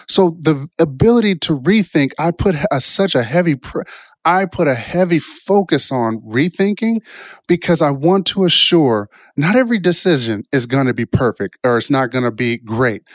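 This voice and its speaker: A man with an average-pitched voice talks like an informal motivational speaker. He is reflective and tends to ramble, restarting thoughts and tagging points with "right?" The sound is dry, with hardly any room in it. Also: no room sound. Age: adult, past college years. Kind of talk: informal self-help talk with personal stories.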